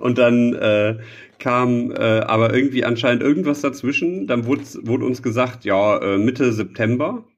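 A man's voice: medium pitch, normal speed. A man co-hosting a podcast, chatting casually with a rambling, self-deprecating, joking manner. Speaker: podcast co-host. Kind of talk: casual banter between friends. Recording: dull lo-fi sound.

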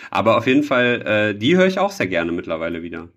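A man's voice: fairly low pitch, sing-song, fast talker, fairly bright tone, highs cut off. A man chatting casually as a podcast co-host, often searching for words and rambling a little.

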